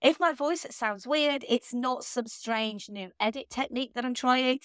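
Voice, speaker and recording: A woman hosting a podcast alone, talking in a chatty, enthusiastic way while still slightly hoarse from laryngitis. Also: on the high side, measured pace, fairly bright tone, dry recording, clean studio sound. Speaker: podcast host. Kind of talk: solo podcast monologue.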